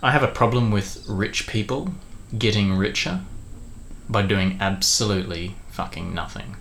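A man's voice: on the low side, normal speed, vocal fry, fairly bright tone, slight background noise. A middle-aged man co-hosting a podcast in casual banter with a friend, blunt and given to swearing. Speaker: podcast co-host. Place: home study.

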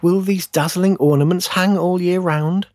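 Man putting on a high-pitched, wistful little fir tree. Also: steady tone, normal speed, darkish tone, no room sound, clean studio sound, close to an everyday voice. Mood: eager wondering. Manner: plaintive, yearning questions and boasts. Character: young little fir tree, wistful, eager, vain, discontented.

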